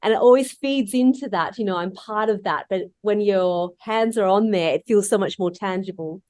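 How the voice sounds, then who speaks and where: A woman's voice: medium pitch, moderate pace, a little mellow, no echo. A woman moderating a conference session, making casual, accommodating remarks to speakers and audience.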